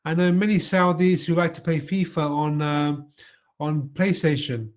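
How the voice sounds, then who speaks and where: Higher-pitched polite man from London. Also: a little slow. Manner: polite, steady, asks guiding questions. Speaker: English conversation partner. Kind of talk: casual conversation.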